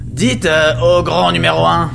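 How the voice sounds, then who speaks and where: A man playing an easygoing, excitable, opportunistic clone henchman with slangy, hesitant speech in a comedic audio drama. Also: on the high side, measured pace, vocal fry, music in the background. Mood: casual, scheming.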